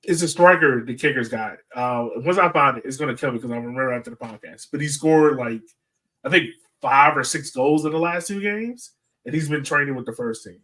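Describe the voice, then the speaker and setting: A man's voice: mid-range voice, fairly quick, bright, forceful tone. American man chatting, off the mic. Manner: informal, peppers talk with "like". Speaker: sports podcast co-host. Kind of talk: casual chat. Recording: voice distant from the microphone.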